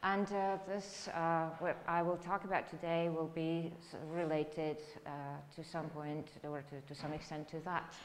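A middle-aged woman from Serbia with a Serbian accent, giving an academic lecture in a halting, explanatory way. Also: low-pitched, little pitch movement. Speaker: university lecturer. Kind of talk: academic lecture, spoken freely. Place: indoor lecture room, standing by a lectern. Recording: echoey lecture room with background noise.

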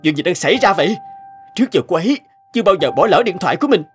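A man's voice: high voice, big pitch swings, racing. A man voicing a suited husband and company boss in a cartoon, affectionate but prone to suspicion. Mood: shocked, suspicious. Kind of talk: Vietnamese cartoon dubbing. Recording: music under the voice.

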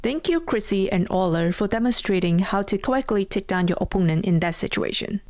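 A woman's voice: mid-range voice, animated, fairly quick, a little mellow, dry recording, natural voice. Woman playing a composed combat class teacher, dry sound. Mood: matter-of-fact. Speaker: voice actor in audio roleplay. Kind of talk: scripted audio drama.

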